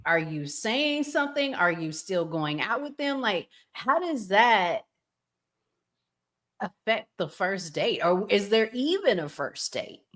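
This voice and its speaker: Podcast host: American woman, medium pitch, markedly slow delivery, casual and conversational. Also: big pitch swings, a bit bright.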